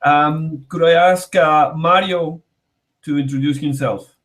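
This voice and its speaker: A man's voice: on the high side, steady tone, takes it slowly, a bit bright. Man politely moderating an online meeting. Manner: brief and polite, calling on each speaker. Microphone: video call.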